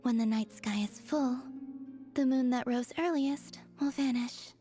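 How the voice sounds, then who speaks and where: A woman voicing a serene young moon goddess in a video game, soft-spoken, composed and unhurried. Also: high voice. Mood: gently instructive. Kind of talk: animated video game voice acting. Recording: faint music behind the voice. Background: faint game music.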